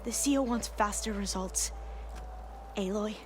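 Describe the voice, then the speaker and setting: An actress playing a deferential scholar in a video game, speaking in a hesitant, measured, polite way. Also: female voice, mid-range voice, lightly acted. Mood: cautious, tactful. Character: careful, deferential scholar of a devout clan. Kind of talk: video game cutscene acting. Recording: loud music behind the voice.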